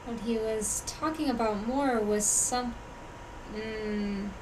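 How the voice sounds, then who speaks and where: A woman's voice: a little high, takes it slowly, background noise. A woman live-streaming a game, muttering hesitant, offhand remarks to herself as she plays.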